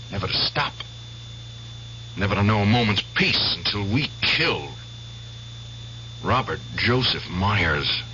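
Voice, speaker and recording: An actor in a radio drama playing a haunted ex-prisoner of war bent on revenge, insistent and emphatic. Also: male voice, on the low side, sharp tone, full character voice. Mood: intense, haunted. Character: haunted, vengeful ex-prisoner of war, obsessed with killing. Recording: dull, hissy, humming old radio broadcast.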